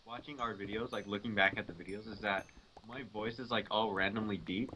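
A man's voice: fairly low pitch, steady tone, a little fast, bright, forceful tone. A young man joking around with friends while playing a game together, playful and teasing. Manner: joking, playful, sings silly lines. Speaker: gamer in a group commentary. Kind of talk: casual gaming chat with friends. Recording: slightly dull lo-fi mic with faint hiss.

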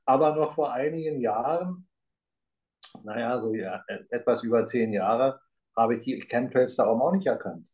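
A man's voice: medium pitch, normal speed, darkish tone. A middle-aged man in casual conversation, talking emphatically and rambling, posing rhetorical questions, heard over a video call webcam. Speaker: talk show co-host. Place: room with a green grass backdrop.

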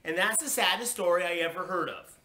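A woman's voice: lowish voice, a little fast, bright, forceful tone, roomy sound, voice pushed up, poor audio. A woman voicing a stubborn, indignant little girl from a storybook, pouting and snappy with childish grammar. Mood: whiny, indignant.

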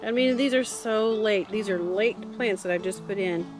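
A woman's voice: mid-range voice, moderate pace. Woman, cheerful chatty garden tour, outdoors on a handheld phone, guitar music under it. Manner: chatty, cheerful, thinks aloud. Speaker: homesteader vlogger. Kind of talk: casual walking garden tour. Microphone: phone camera held while walking.

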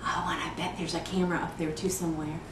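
A woman playing a disturbed, wistful, eerily childlike mother in a dramatic film monologue, halting and drifting between murmurs and sudden emphasis. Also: deep voice, little pitch movement, close to an everyday voice. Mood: suspicious, coy. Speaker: actor performing a film monologue. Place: echoey indoor studio room. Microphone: distant microphone. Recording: echoey room, distant mic, clear noise.

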